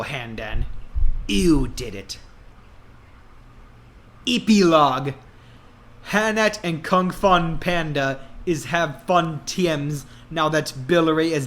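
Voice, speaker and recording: A man narrates a story as a mock-dramatic reading. His voice is fairly high and bright, and he takes each phrase slowly and deliberately, keeping it deadpan. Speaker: dramatic reader of fan fiction.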